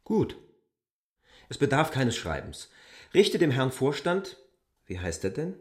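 An actor playing a formal, self-assured newcomer in a literary radio drama, with a husky, deliberate delivery. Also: male voice, medium pitch, big pitch swings, no echo. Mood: formal, composed. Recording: dry studio with faint music.

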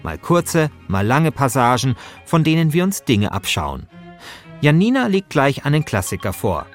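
A man's voice: mid-range voice, huge pitch swings, moderate pace, soft background music. A man speaking as a podcast co-host, moving between casual chat and scripted narration.

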